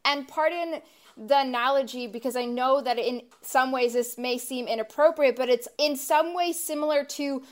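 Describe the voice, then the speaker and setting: A woman with a fairly high voice co-hosts a casual podcast. She talks with heat and swears freely, circling back on herself with fillers as she builds her point. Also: moderate pace. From grew up in the US after her parents immigrated. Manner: impassioned, profane, rambling with many fillers. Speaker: podcast co-host.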